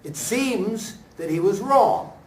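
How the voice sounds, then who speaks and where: A high-pitched man talks in an echoey room, some way from the mic. He is reflective and deliberate, often breaking his sentences into short, halting pieces. It has the feel of an actor discussing a performance after a show. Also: lively intonation, a little mellow. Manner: halting, deliberate phrasing with emphatic pauses. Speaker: stage actor speaking at a talkback. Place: room at a staged reading, before an audience. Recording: echoey room, picked up from far off.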